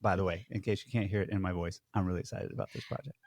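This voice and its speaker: A man's voice: low-pitched, fairly even intonation, very fast, darkish tone, dry recording. Easygoing self-deprecating man, dry mic. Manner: friendly, self-deprecating, many fillers. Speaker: podcast co-host. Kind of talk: casual chat over a video call.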